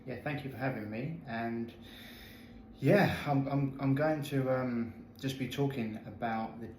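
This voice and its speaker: A man's voice: medium pitch, unhurried, a little mellow, a little hiss or hum. A man in his thirties, speaking as a guest health coach, telling his own story in an earnest, candid way with many restarts. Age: 30s. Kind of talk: interview storytelling. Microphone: video call. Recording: echoey video call audio.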